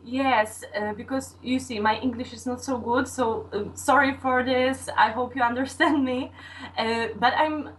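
Slow, high-voiced young Polish woman. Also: fairly even intonation. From Poland. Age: young adult. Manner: halting, searching for English words. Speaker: podcast guest, sex education video maker. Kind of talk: casual interview answers. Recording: distant mic with faint background noise.

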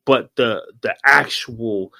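A man's voice: mid-range voice, slow, creaky, bright, forceful tone. A man hosting a casual podcast interview as a fellow chef, talking emphatically in slangy phrases and repeating words to press his point.

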